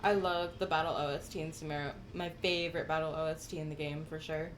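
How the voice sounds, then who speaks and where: Low-pitched, chatty woman game streamer. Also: steady tone, fast talker, a little hiss or hum. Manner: enthusiastic, chatty, uses gamer slang. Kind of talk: casual livestream commentary, chatting with viewers.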